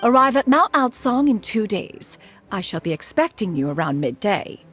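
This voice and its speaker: A woman's voice: medium pitch, sing-song, normal speed, fairly bright tone, soft background music. An actress voicing a proud, aloof immortal woman in a video game, speaking in formal, archaic and lofty phrases. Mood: aloof, brisk. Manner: formal archaic diction, calls herself "one", lofty. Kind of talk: scripted game character dialogue.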